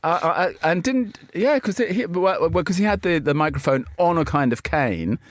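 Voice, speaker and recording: A male guest on a radio show, playful and teasing as he chats. His voice swings widely in pitch and sounds very lively. It is recorded close in a dry studio. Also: moderate pace, dry recording. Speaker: magazine editor guest. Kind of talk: casual radio chat.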